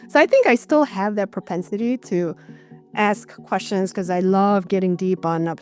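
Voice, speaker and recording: A woman's voice: medium pitch, moderate pace, a little mellow, soft background music. A middle-aged woman giving candid, reflective answers in a conversational interview as a corporate executive guest.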